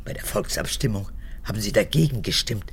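An actress playing an old, manipulative and clingy mother, an unrepentant former camp guard, in a gravelly voice for a radio drama. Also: expressive, a little fast, exaggerated voice. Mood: indignant, insistent. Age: older. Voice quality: creaky, gravelly. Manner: erratic, swinging from whispers to shrill outbursts.

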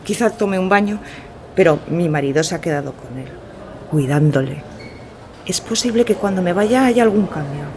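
A woman's voice: lowish voice, lively intonation, normal speed, darkish tone, a little hiss or hum. An actress playing a worried, weary mother of an injured child in a radio drama. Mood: distracted, anxious.

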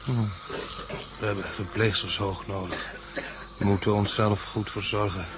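A man's voice: fairly low pitch, steady tone, normal speed, lightly acted. An actor in a 1960s radio drama playing a sensible, sceptical man with a dry, questioning manner. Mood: reluctant. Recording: noisy old 1960s radio recording.

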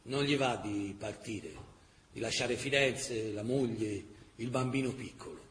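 An actor playing a proud, stubborn fascist officer in a radio drama, speaking in a declamatory, emphatic way. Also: male voice, mid-range voice, unhurried, a bit bright, reverberant, big-room sound, slight background noise, natural voice. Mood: resolute. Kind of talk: radio theatre acting and storytelling.

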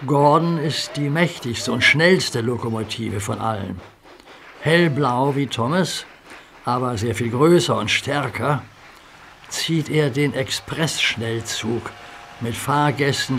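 A man's voice: mid-range voice, noisy background. A man narrating a children's radio play in a vivid storytelling manner.

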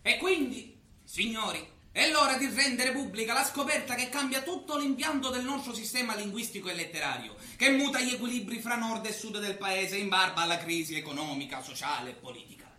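A man acting a bombastic, excitable amateur scholar in a stage comedy, grandiose and emphatic. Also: high voice, fairly quick, heavily acted. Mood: pompous. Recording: echoey theatre, faint noise.

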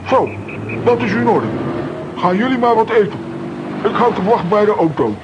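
A man's voice: high voice, expressive, normal speed. A man playing an eager, self-important comic-strip adventurer in a children's audio drama, chatty and exclamatory. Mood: eager, breezy. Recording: noisy, muffled drama recording.

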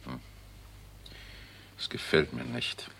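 A grave hospital doctor in an old radio thriller. He says little, in a rumbling, extremely low voice full of creak and husk. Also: fairly even intonation, slow, a bit bright, full character voice. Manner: laconic, grave. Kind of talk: 1960s German radio crime drama acting.

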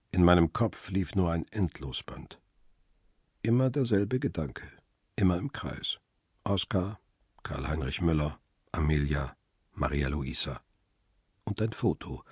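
A man playing a bitter, betrayed paparazzo who narrates his own story in a measured, reflective way for a radio crime drama. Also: low-pitched, husky, no echo, clean studio sound, heavily acted. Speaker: first-person narrator.

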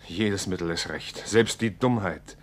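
Radio drama: stern doomsday preacher, oratorical, accusing, unhurried, solemn delivery. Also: male voice, sits low, a little hiss or hum. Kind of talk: 1950s German radio drama acting.

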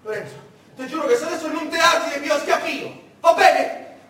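A man's voice: very high-pitched, brisk, pushed and bright, heavy reverb, far from the mic, voice pushed up. A man with a central Italian accent playing a desperate, frightened villager who pleads frantically with his friend in a stage monologue. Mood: frantic, desperate. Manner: frantic, pleading, repeating himself. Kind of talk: live theatrical monologue acting.

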